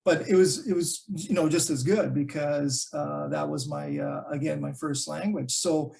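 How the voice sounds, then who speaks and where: Gravelly-voiced man, chatty interview guest. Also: a little high, fairly even intonation, normal speed, a little mellow. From Canada. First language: Calabrese Italian dialect. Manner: thinks aloud with many fillers and restarts. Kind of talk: relaxed conversational interview. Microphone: video call.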